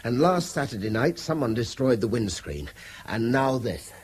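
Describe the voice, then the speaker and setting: An actor in a radio drama playing a tense, formal British schoolteacher with a short temper and precise wording. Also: male voice, mid-range voice, big pitch swings, moderate pace, darkish tone, slightly rough. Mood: tense, grim.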